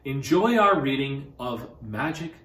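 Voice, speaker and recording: Middle-aged man, presenter, scripted introduction, animated mid-pitched voice, roomy echo. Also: unhurried. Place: indoor room at a theatre. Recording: echoey room.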